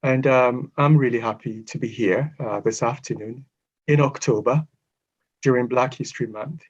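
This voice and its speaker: A man from Ghana giving a prepared online lecture in measured, formal phrases with pauses. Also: mid-range voice, slightly rough. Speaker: guest speaker, psychotherapist. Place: online video call. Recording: dull, lo-fi video call audio.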